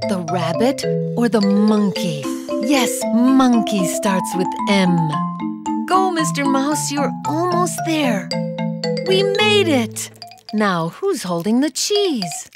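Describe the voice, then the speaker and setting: A woman giving scripted children's educational narration, gentle and patient, asking toddlers simple questions. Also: fairly high pitch, very animated, takes it slowly. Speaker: narrator of a children's alphabet video. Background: children's background music.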